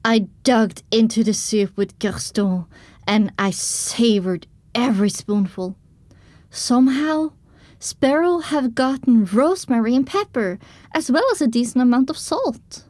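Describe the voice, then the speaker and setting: A woman's voice: a little high, lively intonation, a little slow, darkish tone, no echo. A woman reading first-person dramatic story narration for an audio drama.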